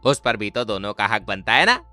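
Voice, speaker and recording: A man's voice: on the low side, sing-song, moderate pace, sharp tone, no echo, faint music behind. A man playing a leering drunken villain with a drawling, lecherous manner in a horror cartoon story. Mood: leering, gloating. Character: leering drunken male villain, sleazy friend of groom.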